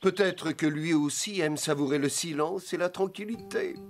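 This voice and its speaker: A man voicing a calm, philosophical animal companion in a French-dubbed children's cartoon, laid-back and gently sardonic. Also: a little high, normal speed, over music, natural voice. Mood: wry.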